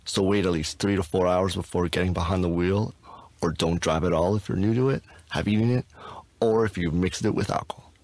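A man's voice: deep voice, brisk, darkish tone, no room sound. A man narrating a public service announcement in a plain, serious manner. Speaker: voice actor.

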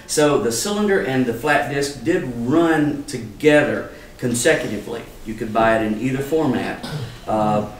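A man's voice: mid-range voice, moderate pace. An older man gives an informal, folksy explanatory talk as an archivist demonstrating to a small group. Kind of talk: informal live lecture. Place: wood-paneled salon with small audience. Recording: echoey room, distant mic, faint noise.

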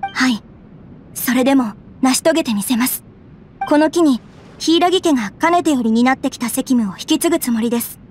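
Anime game role: dutiful young noblewoman, female actor, high voice, polite and determined, slight background noise. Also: normal speed, a little mellow, natural voice. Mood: earnest, resolute. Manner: formal, humble, polite. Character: earnest, resolute, well-bred young noblewoman. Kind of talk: Japanese anime game voice acting.

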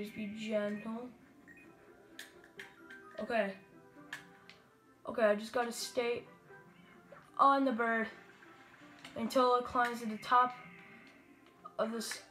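A woman giving rambling, casual commentary while playing a video game, with excitable bursts. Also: a little high. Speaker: let's play gamer. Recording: roomy echo with game music.

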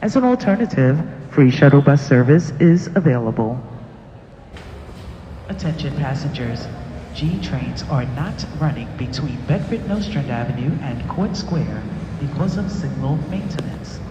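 A man's voice: mid-range voice, expressive, measured pace, mellow. A man reading a scripted public transit announcement. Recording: muffled, noisy, reverberant station PA capture.

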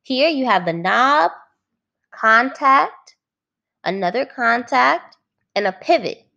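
A woman teacher reading a children's book aloud, phrasing it clearly and adding simple explanations for young listeners. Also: fairly high pitch, expressive, slow, sharp tone, highs cut off.

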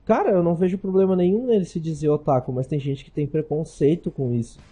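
A man's voice: fairly high pitch, fast talker, dark tone, husky, soft background music. A young man co-hosting a casual podcast, talking emphatically and repeating himself. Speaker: podcast co-host.

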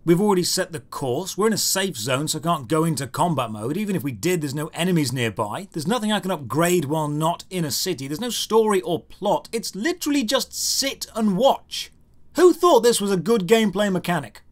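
A man's voice: high voice, animated, a little fast. A man narrating a scripted comedic video game review in a sarcastic, exasperated, emphatic way.

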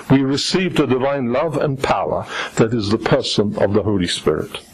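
An older man, a native Polish speaker, giving a formal, measured theological lecture as a priest at a lectern, in a slightly husky voice. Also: medium pitch, low-quality recording. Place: lecture stage at a lectern, indoors. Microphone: gooseneck lectern microphone.

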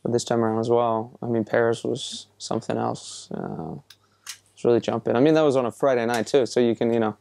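A young man from Iceland answering interview questions casually, with a relaxed, hesitant manner full of fillers and restarts. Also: mid-range voice, brisk, vocal fry, dry recording. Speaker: musician being interviewed.

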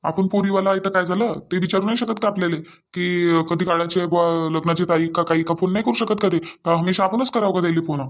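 A mild village family man from a cartoon comedy, voiced by a man. His voice is high and his delivery quick, with a Varhadi Marathi accent. He is deferential and placating, often hesitating and repeating himself. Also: steady tone, no echo. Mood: mildly protesting. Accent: Varhadi (Vidarbha) Marathi.

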